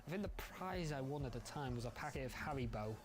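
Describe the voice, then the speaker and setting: A man narrating a football documentary in a measured, explanatory way. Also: medium pitch, animated.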